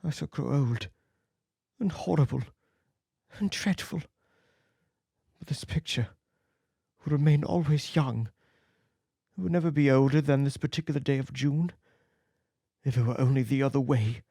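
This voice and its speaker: A man's voice: medium pitch, moderate pace, gravelly, long pauses, mellow, no room sound, clean studio sound, voice pushed up. A man voicing an anguished vain young man. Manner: emotional, pleading, rising to outbursts. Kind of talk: audiobook character reading.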